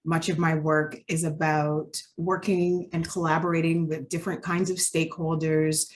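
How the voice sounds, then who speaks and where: Woman innovation manager, low voice, articulate and poised. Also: little pitch movement, moderate pace. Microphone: video call.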